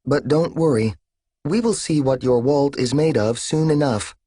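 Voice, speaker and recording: A man reading an audiobook, voicing a frail older mother whose words come through a voice modulator, calm, even and pleasant. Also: medium pitch, normal speed, husky, no echo, clean studio sound, pitched above the performer's own voice, lightly acted.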